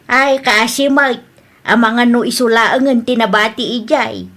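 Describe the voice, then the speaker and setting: A woman's voice: on the high side, fairly even intonation, measured pace, fairly bright tone. A woman acting a concerned mother in an audio drama, coaxing and questioning. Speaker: drama actor.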